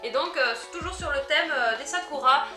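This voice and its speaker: A woman chatting through an unboxing vlog, easily delighted and quick to giggle. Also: high voice, reverberant, over music. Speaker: unboxing vlogger. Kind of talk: casual product unboxing chat.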